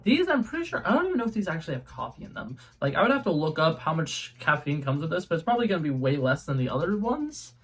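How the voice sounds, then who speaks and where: A man's voice: a little high, very animated, fast talker, few pauses, breathy edge. A young man from New England hosting a casual taste-test vlog at home, chatty and rambling with lots of ums and restarts. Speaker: YouTube host. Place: home room with a color-changing globe light.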